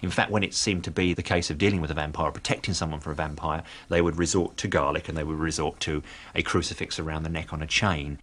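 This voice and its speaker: Deep-voiced man musing quickly. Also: fairly even intonation, fairly bright tone. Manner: thoughtful, hedging with "I think". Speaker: interviewed commentator. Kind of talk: reflective commentary.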